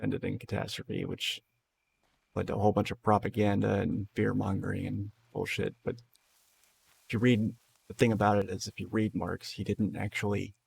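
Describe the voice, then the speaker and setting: A man talking on a live game stream in a tired, hoarse voice that sits low and rasps with heavy fry. He rambles loosely and swears freely, with a faint hiss of noise underneath. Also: little pitch movement, moderate pace, a little mellow. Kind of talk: casual live-stream chat.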